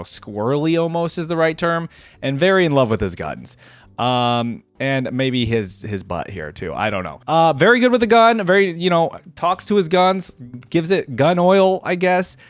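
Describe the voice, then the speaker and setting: A man's voice: a little high, no room sound. A man hosting a reaction video, chatting casually and thinking aloud.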